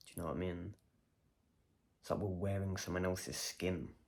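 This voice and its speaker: A man's voice: low-pitched, fairly even intonation, moderate pace, a little mellow. A man vlogging a personal video diary, talking in a reflective, rambling way and questioning himself as he goes.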